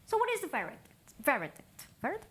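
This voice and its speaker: A woman's voice: high-pitched, huge pitch swings, a little fast, fairly bright tone, slight background noise. A young woman from Portugal, giving a chatty, upbeat talk at a tech meetup, often laughing at herself. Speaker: conference speaker.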